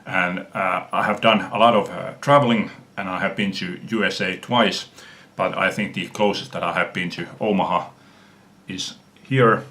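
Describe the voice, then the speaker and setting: Low-voiced Finnish-accented man. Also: fairly even intonation, sharp tone. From Finland. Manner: earnest, unhurried, frequent "uh" pauses. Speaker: music fan vlogger. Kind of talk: casual video response.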